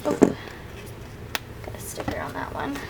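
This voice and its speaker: A woman's voice: slow pace, very noisy. A young woman chatting casually and a bit rambling while she works on her planner, sounding sniffly from crying. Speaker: planner vlogger. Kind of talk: casual craft vlog chat.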